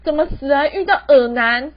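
A woman's voice: high-pitched, moderate pace, loud music behind the voice, close to an everyday voice. A teenage girl with a Taiwanese Mandarin accent playing a wronged, emotional young ghost daughter in an amateur radio drama. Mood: indignant, complaining. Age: teens. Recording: dull lo-fi student recording.

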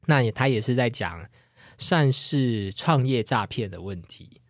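A man with a Taiwanese Mandarin accent co-hosting a podcast in casual, humorous chat, animated and prone to laughing. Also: mid-range voice, measured pace, no room sound, clean studio sound. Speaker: podcast co-host.

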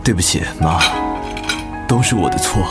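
A man's voice: low-pitched, animated, normal speed. An actor playing a conciliatory, apologetic son-in-law and hardworking family man in a radio drama. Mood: apologetic, earnest. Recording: music behind the voice.

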